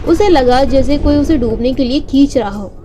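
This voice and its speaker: Woman, high voice, scripted bedtime-story narration, children's storyteller, faint music behind. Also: moderate pace, dark tone.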